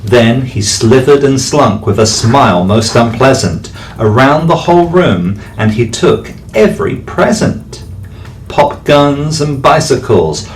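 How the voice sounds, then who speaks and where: A man, a teacher, reading a rhyming bedtime story aloud with expressive delivery. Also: mid-range voice, slight background noise. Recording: distant mic, faint background music.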